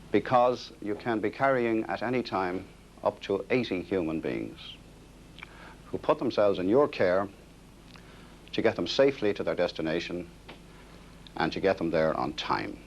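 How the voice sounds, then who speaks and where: An Irish man giving a formal prepared address to trainees as a driving instructor, on a 1960s film recording. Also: fairly low pitch. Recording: dull 1960s film sound, noisy.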